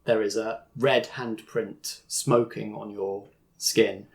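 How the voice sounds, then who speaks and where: Male game master, low voice, measured scene-setting. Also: fairly even intonation, vocal fry. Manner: descriptive, measured, slows for drama. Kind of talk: narrating a tabletop game.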